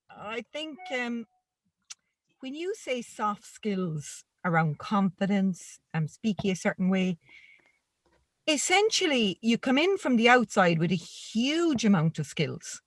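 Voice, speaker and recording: A woman's voice: mid-range voice, very animated, unhurried, a bit bright, no room sound. A woman with a rural Irish accent speaking earnestly and emphatically as a panelist in a discussion. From Ireland.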